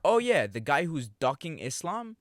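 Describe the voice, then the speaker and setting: A man's voice: a little high, sing-song, moderate pace, no echo. A man co-hosting a sports podcast, ranting heatedly and swearing. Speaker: podcast co-host. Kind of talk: casual sports chat.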